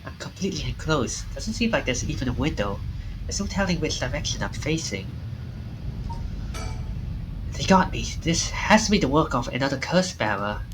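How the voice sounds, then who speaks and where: A man voicing an anxious, excitable young man in a visual novel, with dramatic exclamations. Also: on the high side, moderate pace, pauses often, background noise. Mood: panicked. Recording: game music behind the voice.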